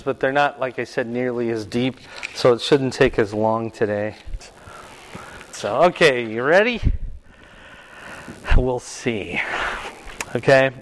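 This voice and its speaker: Older man with a loud teaching voice. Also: medium pitch, a little hiss or hum. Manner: questioning, repeats and presses for answers. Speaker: Bible study teacher. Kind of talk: interactive Bible class, quizzing listeners. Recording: reverberant room.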